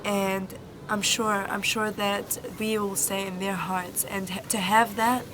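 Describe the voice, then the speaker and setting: Earnest, flat-voiced young woman volunteer. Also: mid-range voice. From New Zealand. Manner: earnest, halting, many ums and restarts. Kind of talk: interview answers. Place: Egyptian village, indoors or outdoors. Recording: noisy background.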